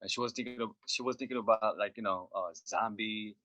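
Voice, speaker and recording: A man speaking casually on a video call interview, telling stories about recording with a relaxed, rambling manner full of "you know". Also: on the low side, brisk, highs cut off. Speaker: label head and producer guest.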